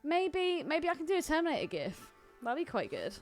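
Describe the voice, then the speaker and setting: A woman's voice: sits high, wildly swooping pitch, a little fast, faint music behind. A woman with a British accent co-hosting a casual comedic podcast, talking in a blunt, cheeky way. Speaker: podcast co-host.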